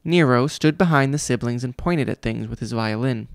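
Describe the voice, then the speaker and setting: A man reads a children's story aloud as a father would to his child. His voice has a creaky, gravelly grain. The sound is dry and close. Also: mid-range voice, normal speed, dry recording. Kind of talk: audiobook-style children's story reading.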